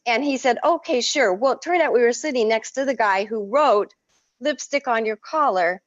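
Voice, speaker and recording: A woman whose first language is French, an artist telling stories in an effusive, expressive way as an interview guest. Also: fairly high pitch, moderate pace, no room sound, dull audio. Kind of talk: casual interview.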